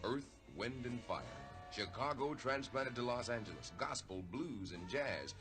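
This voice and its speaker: A man reading scripted 1970s radio record advertising in measured, dramatic fragments. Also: mid-range voice, animated. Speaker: advertising announcer. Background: loud music.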